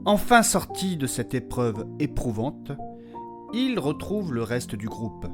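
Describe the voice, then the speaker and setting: A man's voice: medium pitch, very animated, a little slow, over music. An actor playing an anxious, courteous healer who speaks formally to his companions in a fantasy audio drama. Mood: grave narration. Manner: formal, addresses others politely as monsieur.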